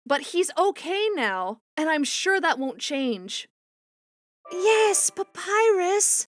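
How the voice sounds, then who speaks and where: A woman's voice: extremely high pitch, very animated, slow, no room sound, clean studio sound, heavily acted. A woman voicing a motherly spider monster in a comic dub, caring and firm in an earnest, coaxing way. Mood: reassuring. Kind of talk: amateur comic dub voice acting. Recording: music bed under the voice.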